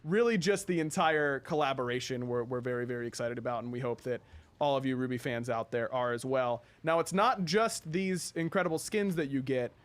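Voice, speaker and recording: A man's voice: mid-range voice, brisk. A man hosting a casual game update presentation with an upbeat, enthusiastic manner. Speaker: host of a game update show. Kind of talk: casual live presentation and commentary.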